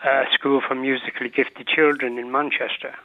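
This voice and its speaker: A man's voice: mid-range voice, level tone, normal speed, dry recording. A man in his seventies from Ireland with a Mancunian accent, a caller chatting fondly and rambling with many fillers. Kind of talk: casual chat. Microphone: telephone. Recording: dry, tinny phone line.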